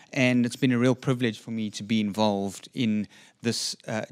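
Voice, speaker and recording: A middle-aged man giving a short prepared talk from notes on an indoor stage, speaking earnestly into a handheld microphone with frequent ums and restarts. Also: mid-range voice. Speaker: community speaker. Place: indoor stage with dark curtain.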